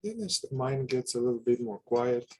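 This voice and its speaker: A man guiding a meditation with calm instruction, speaking in unhurried, halting short phrases with long pauses. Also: mid-range voice. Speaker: meditation guide.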